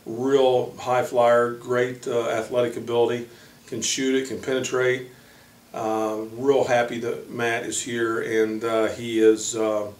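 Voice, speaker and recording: A man speaking as a college basketball coach, introducing players informally in a halting way with frequent pauses. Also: medium pitch. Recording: echoey room, distant microphone.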